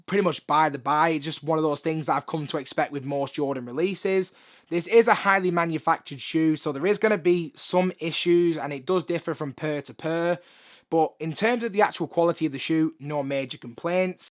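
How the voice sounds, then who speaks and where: Man, hoarse, slightly high voice, chatty, upbeat. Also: a bit bright. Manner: chatty, upbeat, lots of filler phrases. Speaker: sneaker reviewer. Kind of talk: casual video review. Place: room with shoe collection.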